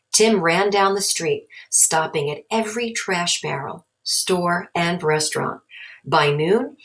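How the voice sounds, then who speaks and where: A woman reads a children's storybook aloud. Her voice is low in pitch, and she narrates the tale as a read-along. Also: a bit bright, rough recording.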